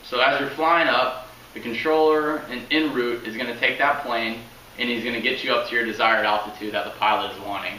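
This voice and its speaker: A man's voice: medium pitch, steady tone, fairly quick, sharp tone. A young man giving an informal informational speech as a student, recorded indoors by a camera a few meters away. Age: young adult. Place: indoors in a home room. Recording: roomy, distant mic, faint noise.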